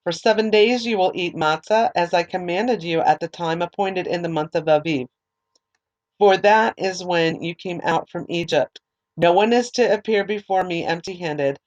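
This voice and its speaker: A woman leading a Bible study, reading scripture aloud at a measured pace and explaining it in hesitant, unscripted remarks. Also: lowish voice, dull audio. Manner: measured reading with hesitant, filler-filled commentary.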